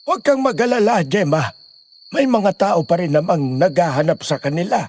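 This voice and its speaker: A man plays a grave, commanding father in an audio horror drama. His voice is creaky and somewhat high, rising and falling dramatically, over faint night noise. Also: expressive, moderate pace, a little mellow. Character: stern, grave village father giving orders and warnings.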